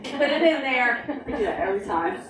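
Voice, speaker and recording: A woman's voice: sits high, lively intonation, brisk, noisy background. A woman leading a preschool storytime, giving small children simple, repeated instructions and questions. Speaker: preschool storytime leader at a library. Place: indoor library storytime room. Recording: roomy, distant mic, music in background.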